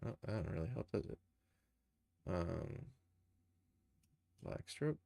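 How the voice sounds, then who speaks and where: Guy doing a chill live tutorial, creaky voice, mumbling thru his steps as he goes, dry close sound. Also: deep voice, big pitch swings, takes it slowly, warm tone, dry recording. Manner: informal thinking aloud while working. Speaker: streamer, game developer and animator. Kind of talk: casual live screen-share tutorial.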